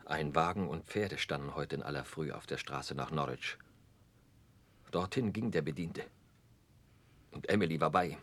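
A deep, husky-voiced honest young labourer in a radio drama. He is humble and deferential, speaking haltingly and earnestly out of a broken heart. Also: fairly bright tone. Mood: grave, reluctant. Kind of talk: 1960s German radio play acting.

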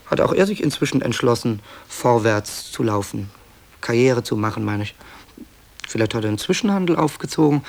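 A man's voice: medium pitch, vocal fry. A man playing a reflective author in a 1960s radio play, thinking aloud in a hesitant, dryly ironic way as he narrates. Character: reflective male radio author presenting his taped documents. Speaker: narrator and interviewer.

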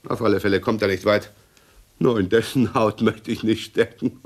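An actor playing a weary, talkative, suspicious husband in a 1960s radio crime play. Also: male voice, lowish voice, fairly even intonation, moderate pace, natural voice. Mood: tired, suspicious.

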